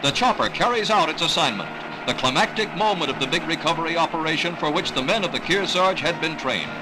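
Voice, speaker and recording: An American man reading scripted 1960s newsreel narration in a dramatic, measured announcer delivery. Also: a little high, expressive, background noise. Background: music.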